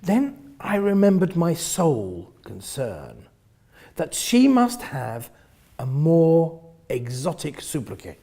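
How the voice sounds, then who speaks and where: A man narrates a novel in a husky, fairly high voice. His delivery is theatrical and expressive, with wide swings in pitch and phrases that sink into a hush. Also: takes it slowly. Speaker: reader of a novel. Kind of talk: dramatic first-person literary narration.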